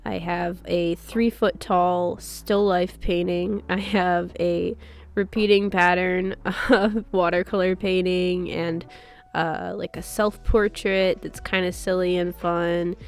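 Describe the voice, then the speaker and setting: Stuffy-voiced young woman chatting over soft music. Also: on the low side, level tone, takes it slowly. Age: young adult. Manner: rambling and chatty, with soft laughs and fillers. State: stuffy, sick voice with a cough. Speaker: art channel host. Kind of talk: casual chat over a paint-with-me video.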